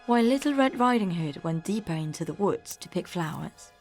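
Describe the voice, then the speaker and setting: A woman's voice: on the low side, expressive, fairly quick, darkish tone. Woman storyteller over faint music. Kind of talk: scripted fairy tale narration for children.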